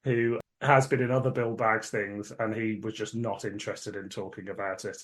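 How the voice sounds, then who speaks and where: A man hosting a podcast in casual chat, explaining things in a measured, conversational way. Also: on the low side, flat delivery, fairly bright tone, slight background noise. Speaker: podcast host.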